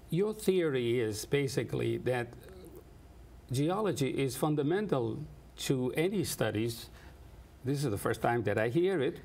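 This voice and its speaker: A man interviews a guest, his questions halting and full of restarts. His mid-pitched voice is gravelly, with faint noise behind him. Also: darkish tone. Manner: halting, with many restarts and fillers, non-native phrasing. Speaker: interviewer, host.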